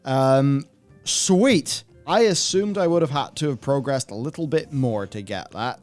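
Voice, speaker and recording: A man's voice: mid-range voice, lively intonation, a little slow, faint music behind. A man hosting a casual gaming discussion, reading and answering viewer comments in a rambling way as he thinks aloud.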